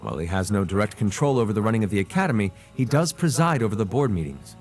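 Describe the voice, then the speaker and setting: Eloquent noble prince, swooping delivery. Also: male voice, on the low side, lively intonation, fast talker. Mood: informative, admiring. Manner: theatrical, refined. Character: eloquent, playful noble prince addressing students. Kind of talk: scripted game cutscene acting. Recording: music behind the voice.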